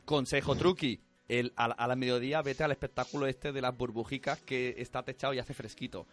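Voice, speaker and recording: A man's voice: mid-range voice, steady tone, fairly quick, fairly bright tone, soft background music. A man hosting a casual podcast, chatty and handing out practical tips. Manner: chatty, gives tips, puts on voices in anecdotes. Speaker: podcast host.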